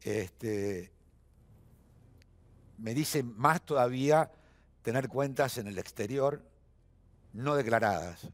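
A man's voice: mid-range voice, takes it slowly, long pauses. A man in his seventies with an Argentine accent, a guest in a television studio interview, talking emphatically with frequent fillers and restarts. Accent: Argentine Spanish. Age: 70s.

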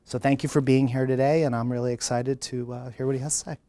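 A middle-aged man with a New Jersey accent, introducing a speaker to students in a large lecture hall with an earnest, animated manner. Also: mid-range voice, quick pace. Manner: earnest, animated, gesturing while talking. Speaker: college dean introducing a speaker.

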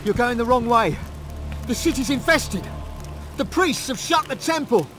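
A man's voice: extremely high pitch, expressive, a little slow, sharp tone, faint music behind, heavily acted. A man playing a frightened, grumbling townsman in a fantasy video game, urgent and agitated. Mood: panicked, urgent. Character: frightened, grumbling townsman fleeing a plague city. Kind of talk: video game character acting.

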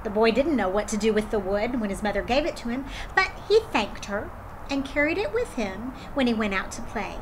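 A woman storyteller reading a children's folktale aloud in an expressive way. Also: fairly high pitch, brisk, noisy background. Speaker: drama storyteller.

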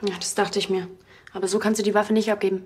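An actress playing a helpful, no-nonsense police station colleague in a naturalistic drama style. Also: female voice, mid-range voice, flat delivery, fast, close to an everyday voice. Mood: brisk, helpful.

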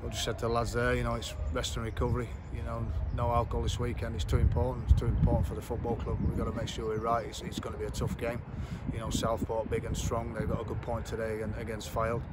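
A middle-aged football manager giving a plain, measured post-match interview outdoors at a ground, with many fillers and repeats. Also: male voice, mid-range voice, completely flat delivery. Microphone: camera framed at chest height. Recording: loud outdoor wind noise.

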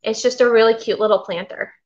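A woman's voice: on the high side, fairly even intonation, fast. A middle-aged woman from Florida hosting a casual live stream from her home kitchen, rambling and reading out viewer comments. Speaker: vintage seller hosting a live haul. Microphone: webcam at desk. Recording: slightly dull lo-fi webcam audio.

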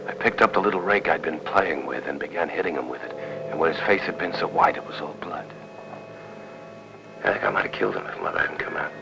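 An actor playing a bedridden, menacingly possessive husband in a 1950s film melodrama, speaking slowly and haltingly in a hush. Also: male voice, rumbling low, vocal fry, full character voice, poor audio. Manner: slow, halting, hushed, often near a whisper. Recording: old film soundtrack, music behind.

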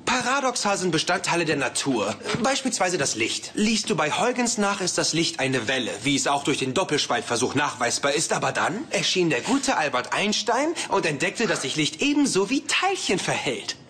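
An actor playing an earnest, awkward young physicist, hesitant and defensive, in a German-dubbed sitcom. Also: male voice, fairly high pitch, very animated, normal speed, hardly any pauses, pushed and bright. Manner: hesitant, flustered, precise. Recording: noisy TV soundtrack with ambience.

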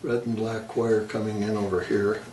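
A man's voice: on the low side, flat delivery, moderate pace. A middle-aged man giving matter-of-fact, casual commentary as he works on a repair, thinking aloud in short remarks. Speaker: repair technician and presenter. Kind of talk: casual hands-on repair commentary while working. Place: indoor electronics repair workshop. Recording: roomy, distant mic, workshop noise.

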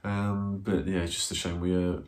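A man's voice: deep voice, little pitch movement, normal speed. A man, reviewing a football match to camera from a small room, hesitant with many ums and restarts. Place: small indoor room, plain wall. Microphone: camera in front, seated.

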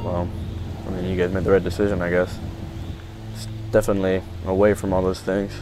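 Man playing a low-voiced, hesitant apocalypse survivor. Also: little pitch movement, over music, natural voice, rough recording. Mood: resigned, grateful. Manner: halting, casual, hedging. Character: easygoing male zombie-outbreak survivor, earnest and unsure. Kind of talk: improvised roleplay acting in a video game skit.

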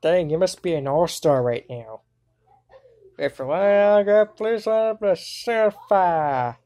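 A woman voicing an exasperated, excitable anime cartoon character in an amateur fandub. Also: fairly low pitch, slow pace. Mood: amazed.

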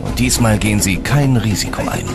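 Male documentary narrator, lower register, measured dramatic storytelling, clear music bed. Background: background music.

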